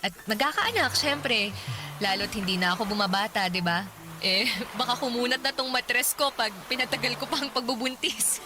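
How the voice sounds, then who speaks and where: A woman's voice: a little high, moderate pace, background noise, lightly acted. A woman playing a hopeful, chatty waitress from the provinces in a conversational radio drama. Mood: playful, earnest. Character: hopeful, playful provincial waitress, in her thirties, wanting family. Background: music.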